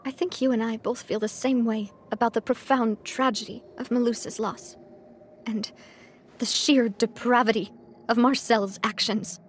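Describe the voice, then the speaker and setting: An actress playing a young gang leader in a video game, candid and composed, with a measured, gentle way of talking. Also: female voice, fairly high pitch, steady tone, slight background noise, natural voice. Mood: somber. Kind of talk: video game character dialogue.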